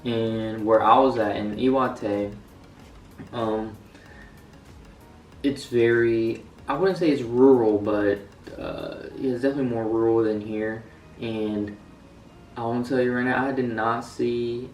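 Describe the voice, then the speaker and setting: Young guy from the us, kinda low voice, lots of ums, sounds a bit far from the mic with faint room hum. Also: steady tone, a little slow, echoey room. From United States. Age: young adult. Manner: rambling, many fillers and restarts. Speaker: student vlogger. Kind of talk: casual vlog talk.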